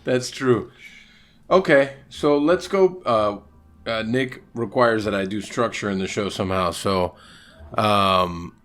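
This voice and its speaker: A man's voice: on the low side, fairly bright tone. Joking, foul-mouthed male podcast host. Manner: profane, joking, quick to laugh. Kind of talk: casual chat.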